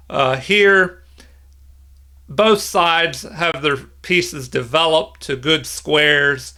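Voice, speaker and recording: A middle-aged man giving an unscripted tutorial as an instructor, explaining things in a measured way. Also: fairly high pitch, sharp tone. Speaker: chess instructor. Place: small room indoors at home.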